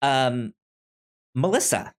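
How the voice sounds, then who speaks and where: A man co-hosting a podcast, chatting casually about films in a halting way, often repeating words and restarting. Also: medium pitch, expressive. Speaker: podcast co-host. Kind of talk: casual film review chat.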